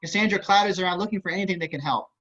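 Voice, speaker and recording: Bright-voiced man narrating a script. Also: high voice, rapid-fire. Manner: wry, casual asides. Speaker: stage-direction narrator. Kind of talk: scripted narration at an online table read. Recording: dull video-call audio.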